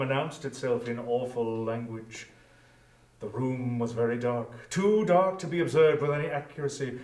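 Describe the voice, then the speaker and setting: Solemn male story narrator in echoey room. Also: mid-range voice. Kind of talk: dramatic scripted story narration. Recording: echoey room, distant from mic.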